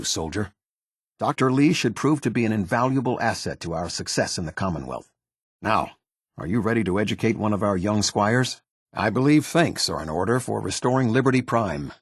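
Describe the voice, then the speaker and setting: A man voicing a stern, loyal military captain in a video game, speaking in a formal, measured and authoritative way. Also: on the low side, husky, dry recording, clean studio sound. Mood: approving, formal. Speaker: military officer giving orders and briefings. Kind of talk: scripted video game voice acting.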